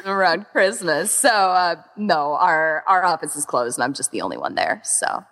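A woman talks in a casual interview with a bright, highly animated voice. Her delivery is informal and emphatic, peppered with "like" as she gushes. The recording carries a long reverberant tail. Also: moderate pace. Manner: informal, gushing, emphatic, says "like" constantly. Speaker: podcast interview guest, event organizer.

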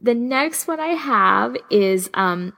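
A woman podcast co-host chatting casually in an informal, animated way, wandering into tangents as she gives recommendations. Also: on the high side, slow, gravelly. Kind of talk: casual chat, recommending audio fan fiction.

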